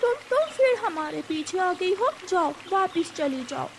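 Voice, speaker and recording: Woman doing a whiny high-pitched little boy bird. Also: big pitch swings, a little slow, a little hiss or hum, heavily acted. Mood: annoyed, bossy. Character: young boy bird, whiny and bossy brother.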